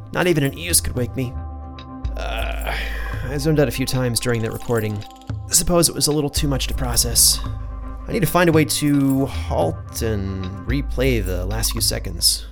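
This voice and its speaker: An actor playing a tired, absent-minded archivist in a fantasy audio drama, muttering creakily to himself. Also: male voice, mid-range voice, moderate pace, over music, close to an everyday voice. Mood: tired, musing.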